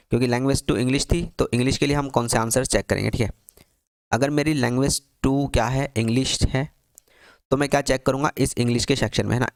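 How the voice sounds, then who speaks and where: A man's voice: mid-range voice, gravelly, no echo. A man tutoring for a teaching exam, walking through an answer key on screen, asking himself questions and answering them with constant repetition.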